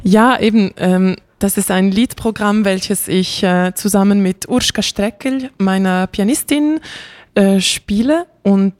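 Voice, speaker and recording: A woman's voice: medium pitch, unhurried, darkish tone, no echo. A young woman, a classical singer raised bilingual in Bosnian, chatting animatedly in an interview with many fillers and light laughs. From Switzerland. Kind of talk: casual interview chat.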